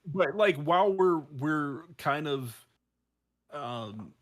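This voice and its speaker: A man's voice: a little high, animated, takes it slowly, lo-fi sound. A man co-hosting a podcast in a casual chat, talking in a hesitant, rambling way with repeated words. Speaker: podcast co-host.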